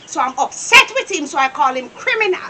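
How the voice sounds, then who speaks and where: A woman playing a fiery, devout Jamaican grandmother in a drama, scolding loudly and repeating her questions. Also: high voice, very animated, brisk, exaggerated voice. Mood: stern, exasperated. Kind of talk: acted comedy drama dialogue. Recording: distant mic with some background noise.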